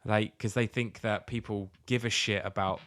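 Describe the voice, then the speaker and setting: A man's voice: on the low side, steady tone, moderate pace, a bit bright. A British man co-hosting a podcast in casual comic chat, ranting and swearing in exasperation. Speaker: podcast co-host.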